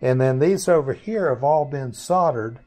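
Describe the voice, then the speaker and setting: An older man in his sixties or beyond, informally explaining and demonstrating products in deliberate, halting phrases with pauses. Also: mid-range voice, animated, dark tone. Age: 60s or older. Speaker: maker presenting his 3D printed products. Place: small indoor workshop.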